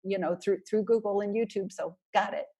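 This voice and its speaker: A woman from Canada, a marketing consultant talking as a podcast guest in a chatty, energetic way. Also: mid-range voice, a little fast, husky. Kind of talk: casual interview talk.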